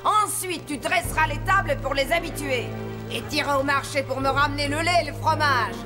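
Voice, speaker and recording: A bossy tavern mistress from a cartoon played by a woman. She is high-pitched and sharp-tongued, scolding and nagging, with clear music behind her. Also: moderate pace, close to an everyday voice. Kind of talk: French cartoon voice acting.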